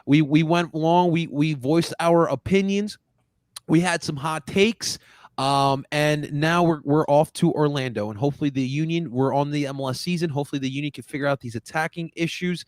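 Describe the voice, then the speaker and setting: An excitable man hosts a casual sports fan podcast from a video call, hyping up his listeners. His voice sits on the high side. The sound is dry and close to the mic. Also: moderate pace, no room sound. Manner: excitable, hypes up fans. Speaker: podcast host.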